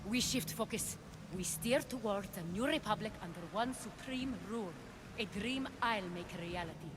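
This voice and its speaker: A woman's voice: mid-range voice, normal speed, background noise, natural voice. Poised, ambitious former cult leader woman, voiced by an actress. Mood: resolute. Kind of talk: dramatic video game cutscene acting.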